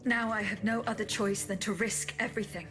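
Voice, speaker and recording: A woman's voice: medium pitch, steady tone, fairly bright tone, natural voice. Game role: formal, composed machine bodyguard, female actor, mid-range voice over music. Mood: resolute. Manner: measured, formal. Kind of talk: dramatic video game acting. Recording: music behind the voice.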